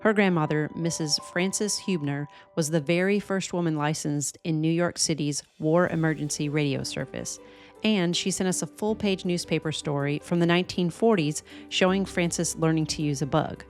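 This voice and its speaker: Low-pitched American woman narrating over music. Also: normal speed, a little mellow. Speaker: co-host of a ham radio channel. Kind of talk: scripted narration.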